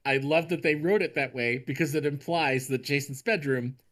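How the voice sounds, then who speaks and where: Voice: mid-range voice, normal speed, fairly bright tone. A co-host at a live table read, joking casually with sarcastic, sweary asides and switching into script reading.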